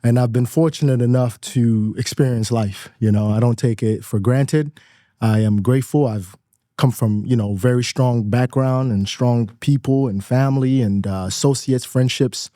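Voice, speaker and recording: A man in his thirties from Nigeria talks as a guest in a studio. His voice is warm and mellow, recorded dry and close. He is reflective and laughs easily, repeating words as he gathers his thoughts. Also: medium pitch, steady tone, normal speed, no echo. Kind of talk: casual interview chat.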